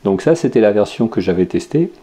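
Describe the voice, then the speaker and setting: French guy podcasting, super dark tone, lots of euh and restarts. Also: on the low side, lively intonation, a little fast. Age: adult with children. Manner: rambling, many fillers and restarts. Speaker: podcast host. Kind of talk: casual tech and games review.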